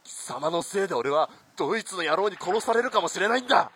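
An actor playing a cruel, abusive army soldier in a radio drama, menacing and domineering, with rough old-fashioned military speech. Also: male voice, sits high, expressive, fast, fairly bright tone, a little hiss or hum. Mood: enraged.

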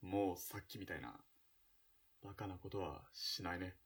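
A man's voice: deep voice, steady tone, moderate pace. An actor playing a stern, protective young man and loyal grieving friend in a melodramatic radio drama. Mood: subdued, consoling. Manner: urgent, firm, emotional. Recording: slightly distant from the mic.

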